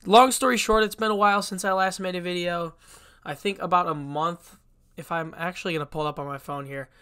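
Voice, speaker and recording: Young male podcast host, congested from a cold, fairly high and bright, casual and blunt. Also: fairly even intonation, a little fast, smooth. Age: young adult. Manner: chatty and blunt, with frequent restarts. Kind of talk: casual solo sports podcast.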